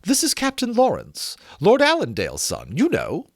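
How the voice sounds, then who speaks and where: A spiteful young society lady from a period storybook, as a male reader plays her. The voice is lifted high and swoops about with affected sweetness, polite on top and cutting underneath. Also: wildly swooping pitch, moderate pace, creaky, no room sound, clean studio sound, exaggerated voice. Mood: falsely polite. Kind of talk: dramatic audiobook reading of a period novel.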